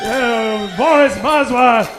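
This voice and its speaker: A man serves as narrator and announcer for a spoof of old radio serials. His voice is very high and theatrical, with faint music behind it. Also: slow pace.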